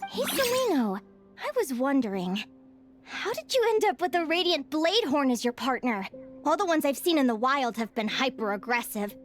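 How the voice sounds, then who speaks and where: A high, youthful female adventurer speaks over game music. She sounds sincere, caring and upbeat, like a gentle heroine in a video game. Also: big pitch swings, normal speed. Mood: curious, friendly. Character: sweet, earnest young woman adventurer, caring and upbeat.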